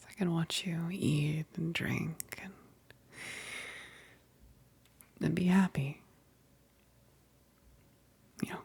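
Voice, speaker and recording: A woman acts out a female vampire best friend for an intimate ASMR roleplay. Her voice is a low, breathy whisper with a gravelly crackle. She speaks slowly and hesitantly, affectionate and teasing, with a gentle wistfulness underneath. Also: heavily acted. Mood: wistful, fond. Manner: slow, hesitant, punctuated with soft chuckles.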